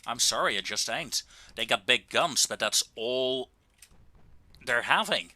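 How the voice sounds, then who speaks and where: A man giving casual, wry and understated commentary on a video game, with drawn-out pauses, into a close microphone. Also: mid-range voice, animated, sharp tone, no room sound.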